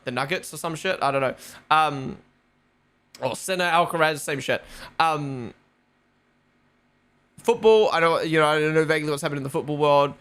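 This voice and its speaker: A man's voice: on the high side, normal speed, long pauses, a bit bright, clean tone. A young man with a New Zealand accent, rambling casually as a live stream host, full of fillers and swearing. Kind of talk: casual live watch-along chat.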